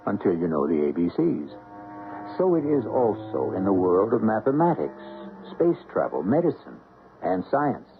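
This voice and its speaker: A man hosting a 1970s radio drama, narrating in a solemn, deliberate, dramatic scripted style. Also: lowish voice. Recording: thin phone-like 1970s radio recording. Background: music.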